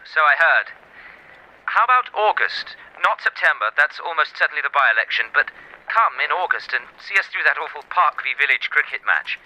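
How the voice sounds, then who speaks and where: An actor playing a breezy young upper-class English gentleman in a period radio drama, heard through a telephone filter. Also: a little high, sing-song, normal speed, full character voice. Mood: breezy, sociable. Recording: thin, band-limited telephone line.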